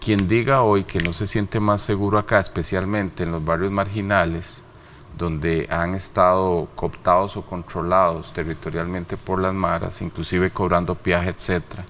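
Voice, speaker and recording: A man's voice: sits low, steady tone, normal speed, fairly bright tone, a little hiss or hum. A man from Rica, giving emphatic interview answers full of rhetorical questions. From Costa Rica. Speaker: interview guest, human rights official.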